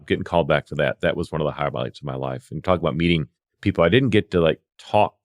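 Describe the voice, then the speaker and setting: Fast-talking, low-voiced man telling stories. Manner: animated re-enacting of dialogue. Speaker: podcast guest, veteran EMS worker. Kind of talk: casual anecdotal storytelling.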